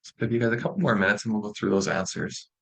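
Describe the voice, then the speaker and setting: A man's voice: lowish voice, racing, creaky, a bit bright, slightly rough. A young man with a Canadian accent giving an informal online presentation as a student presenter, in a friendly, reassuring and encouraging way. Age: young adult.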